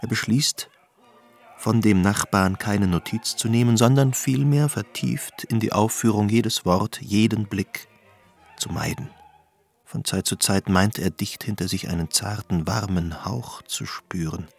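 A man giving scripted literary narration for a radio drama in a measured, suspenseful way. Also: fairly low pitch, creaky, faint music behind.

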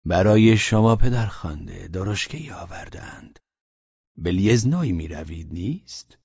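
A man voicing a blunt, scolding older landlady, low and slow. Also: animated, creaky, a little mellow, husky, no room sound, muffled recording, exaggerated voice.

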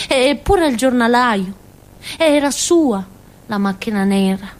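An actress playing a naive, excitable village girl with a Calabrian accent, telling her story in a childlike, colloquial theatrical monologue for radio. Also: a little high, rough recording. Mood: wondering. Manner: childlike, colloquial storytelling with frequent giggles and asides.

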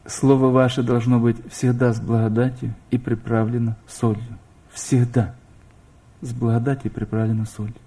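A man's voice: medium pitch, flat delivery, normal speed, dark tone, breathy edge. A middle-aged man preaching a sermon, speaking straight to his listeners and asking them questions. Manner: addresses listeners directly with questions, rising emphasis. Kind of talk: sermon to a congregation.

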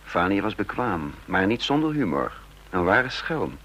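An actor playing a wry, introspective spy living under a false identity, narrating and talking in a measured, reflective way in a radio play. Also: male voice, sits low, sing-song, a bit bright, a little hiss or hum, full character voice. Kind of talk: first-person narration and dialogue in Dutch radio play.

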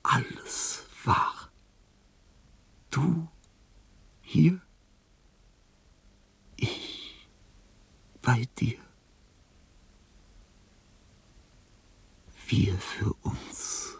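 Husky, delirious dying old man. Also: medium pitch, expressive, a bit bright, dry recording, full character voice. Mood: dazed tender wonder. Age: older. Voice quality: husky, breathy, breaking voice. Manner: halting fragments, whispers rising to frantic cries. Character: dying lonely old male artist, delirious, fearful, pleading. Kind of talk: German radio play acting.

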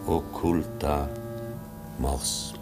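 Very deep, grave fatherly professor. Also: steady tone, over music, heavily acted. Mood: grave, mournful. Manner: solemn, measured, pious. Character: grave, fatherly professor comforting a dying man. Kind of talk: German radio play acting.

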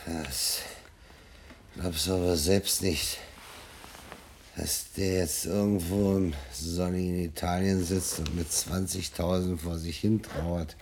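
An actor playing a drunken, self-pitying man in a radio drama, rambling and halting between mutters and outbursts. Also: low voice, fairly even intonation, darkish tone, a little hiss or hum. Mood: rambling, gloomy. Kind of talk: German radio drama acting.

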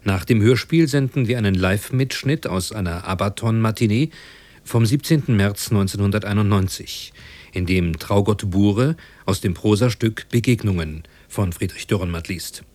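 A man's voice: on the low side, moderate pace, darkish tone, no echo. A man making a formal, scripted announcement as a radio presenter, close on a studio microphone.

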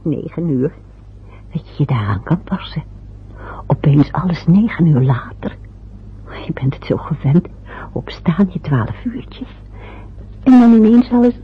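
A woman's voice: low voice, big pitch swings, a little slow, pauses often, slightly rough, full character voice. An actress playing a fussy, anxious older mother in a radio play, chattering and muttering to herself. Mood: fretful. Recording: dull lo-fi old radio recording.

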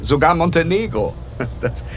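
A man's voice: a little high, lively intonation, a little slow, soft background music. An actor playing a suave, wealthy, secretive man in a radio play, talking in an affected, genteel, boastful way. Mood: proud reminiscing.

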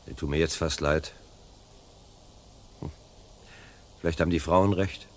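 A man's voice: rumbling low, steady tone, unhurried. An actor playing a troubled, wavering fireman with a subdued, hesitant manner in a 1970s German radio drama. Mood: doubtful, pitying. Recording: noisy vintage radio recording.

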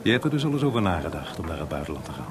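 An actor playing a seasoned, wry composer in a Dutch radio play, speaking frankly and with measured, gently probing delivery. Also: male voice, deep voice, sing-song, crowd noise.

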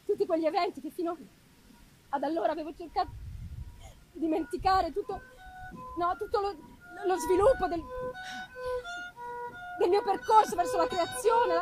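A woman performing an anguished, haunted young male scientist in a dramatic, halting gothic literary reading, emphasizing and repeating words. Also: very high voice, poor audio. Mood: tormented, brooding.

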